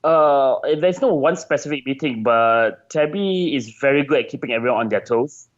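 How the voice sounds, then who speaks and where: Male interviewee, game designer. Mid-pitched, chatty and casual, searches for words with repeats. Slightly muffled, band-limited recording. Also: animated, moderate pace.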